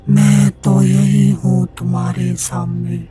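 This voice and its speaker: A woman's voice: mid-range voice, darkish tone. A woman voicing a menacing female forest spirit, scolding and taunting in a cartoon horror story. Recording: music behind the voice.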